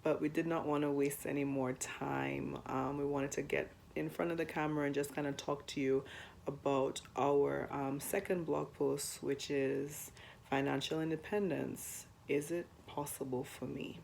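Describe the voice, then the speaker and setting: Hoarse, low-voiced young woman. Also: flat delivery, moderate pace, a little hiss or hum. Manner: chatty, with frequent ums and soft laughs. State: hoarse, recovering from flu. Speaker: co-host of a personal finance channel. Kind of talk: casual chat to camera.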